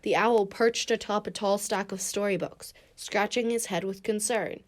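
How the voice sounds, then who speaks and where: A woman reading a children's picture book aloud, expressive and warm, with a bright lift when she greets the listeners. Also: mid-range voice, normal speed. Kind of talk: storybook read-aloud for kids.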